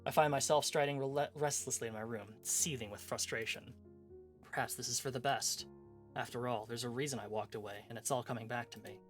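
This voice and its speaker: A man's voice: mid-range voice, fairly even intonation, fairly quick, close to an everyday voice. Man reading as brooding, frustrated professor, music behind. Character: brooding, frustrated professor narrating a detective mystery. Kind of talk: visual novel read aloud.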